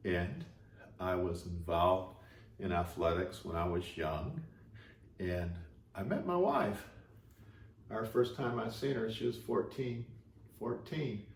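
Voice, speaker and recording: A man's voice: on the low side, slow pace, vocal fry, pauses often. A man in his sixties from Chicago, co-hosting a podcast in casual conversation, recorded in a roomy space a little away from the mic. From Chicago, United States. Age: 60s. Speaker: podcast co-host. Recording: roomy, distant mic, faint noise.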